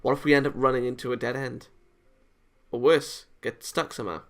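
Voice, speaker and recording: A man reading visual novel narration aloud as a let's player, in an expressive, dramatised way. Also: medium pitch, brisk. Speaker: video game let's player.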